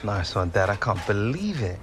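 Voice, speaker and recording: An actor playing an upbeat, easygoing young English footballer with a London accent, chatting casually. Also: male voice, lowish voice, very animated, normal speed, background noise. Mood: delighted. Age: young adult. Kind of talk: casual banter, naturalistic game cutscene acting.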